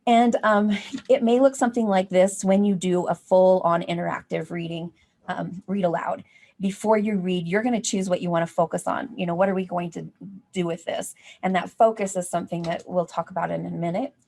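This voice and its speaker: A woman presents an informal workshop for educators. Her voice sits a little low, and she explains conversationally, thinking aloud as she goes. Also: fairly even intonation, brisk, creaky, darkish tone. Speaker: workshop presenter on teaching reading. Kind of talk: informal professional development talk.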